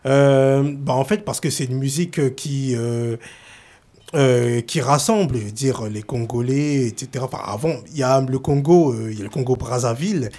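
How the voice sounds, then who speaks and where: A man raised in France talks through his subject on a chat show. He hesitates often and fills pauses, at an ordinary middle pitch. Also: dark tone. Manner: hesitant, many fillers. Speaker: journalist and author guest. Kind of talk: casual talk-show chat.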